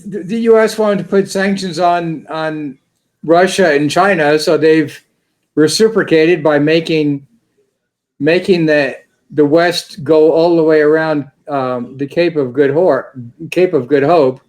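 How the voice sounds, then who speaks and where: Rambling man with a higher voice. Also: fairly even intonation, unhurried, a little mellow. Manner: rambling, repeats words, many restarts. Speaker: guest. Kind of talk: casual interview chat.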